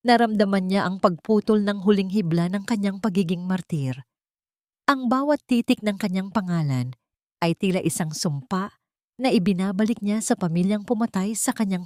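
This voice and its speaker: A woman's voice: medium pitch, a little mellow, no room sound, clean studio sound. A woman reading scripted dramatic narration in a solemn, melodramatic storytelling manner.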